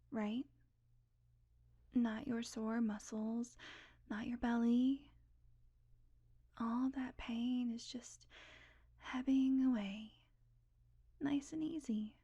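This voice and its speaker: Female vampire, woman actor, higher-pitched, unhurried delivery; sardonic, blunt, guardedly caring; intimate audio roleplay. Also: mellow, breathy edge, full character voice. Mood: soothing, hypnotic. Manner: sarcastic, blunt, coaxing, sighing, deliberate pauses.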